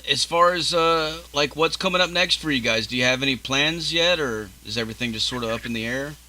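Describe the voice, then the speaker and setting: A man's voice: mid-range voice, a little fast, bright, forceful tone, slight background noise, poor audio. A man in his early forties hosting a casual interview, easygoing and swearing freely as he chats. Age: 40s. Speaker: podcast host.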